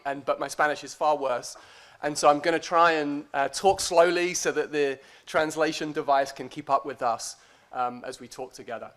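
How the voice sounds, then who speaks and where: A man giving a conference lecture as a guest academic, speaking in a deliberate, hesitant, self-deprecating way. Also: on the high side, fairly bright tone.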